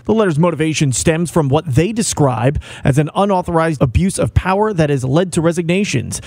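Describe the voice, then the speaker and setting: Male reporter, somewhat high voice, scripted news delivery, dry acoustics. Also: lively intonation, brisk, darkish tone, dry recording.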